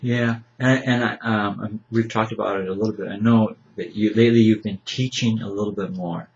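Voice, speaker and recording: An older man with a lowish voice hosts a library interview, easing into questions with plenty of ums and a gentle, joking manner. He sits across a table from his guest in a book-lined room, picked up on a camera mic with slightly dull, lo-fi sound. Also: fairly even intonation, a little fast. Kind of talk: casual interview. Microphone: camera mic at table distance. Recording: slightly dull lo-fi webcam audio.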